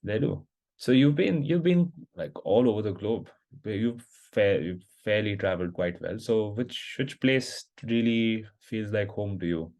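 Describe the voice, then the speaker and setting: Deep-voiced young podcast host. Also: male voice, animated, measured pace, darkish tone, low-quality recording. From India. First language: Hindi. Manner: hesitant, many fillers and restarts while asking. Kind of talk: casual conversational interview.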